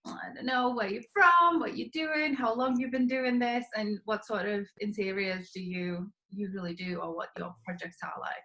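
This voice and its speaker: A woman's voice: mid-range voice, moderate pace, few pauses, bright, forceful tone, rough recording. A woman hosting her design channel, introducing a guest with upbeat, giggly asides. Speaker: host, interior designer. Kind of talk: YouTube channel intro and interview.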